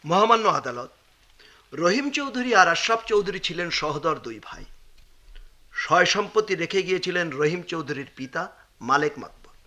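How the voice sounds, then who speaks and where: A man's voice: sits high, animated, moderate pace, bright, forceful tone. An actor playing a formal, insistent male lawyer arguing and questioning witnesses with oratorical delivery in a radio drama. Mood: accusing, formal.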